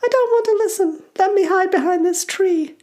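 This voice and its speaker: A woman voicing small, squeaky goblin children, giddy and easily scared, in a storybook. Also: normal speed, mellow. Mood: frightened. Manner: giddy, exclaiming. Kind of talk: storybook character voice.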